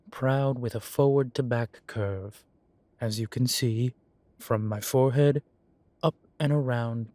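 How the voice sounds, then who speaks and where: A man's voice: mid-range voice, no echo. A man playing a droll, proud, genteel demon professor who lectures a student in a scripted roleplay audio. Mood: amused, proud. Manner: unhurried, genteel, gently lecturing. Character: droll, proud, urbane male demon professor, centuries old. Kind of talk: scripted roleplay audio, one-sided conversation.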